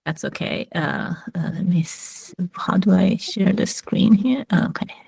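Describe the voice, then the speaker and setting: A woman's voice: medium pitch, animated, measured pace, gravelly, darkish tone, husky, no echo. A woman from Iran, a physicist, speaking hesitantly with many fillers on a video call. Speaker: physicist and mentoring programme founder. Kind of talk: online panel talk.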